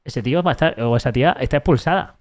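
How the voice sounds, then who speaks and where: A man with a Spanish accent from Spain reading a text aloud on a live stream, breaking off for sarcastic, mocking asides and restarting his sentences often. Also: medium pitch. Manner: halting, frequent restarts while reading.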